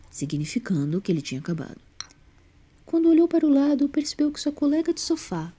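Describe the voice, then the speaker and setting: Expressive, mellow woman storyteller. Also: mid-range voice. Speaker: narrator. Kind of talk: scripted story narration.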